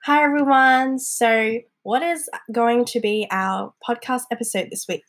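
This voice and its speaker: A young woman hosting a podcast in a casual, encouraging way, her voice hoarse and congested from a cold. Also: a little high, steady tone, unhurried, a bit bright. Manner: chatty and encouraging, with fillers like "um" and "like". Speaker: podcast host. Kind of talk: casual solo podcast talk.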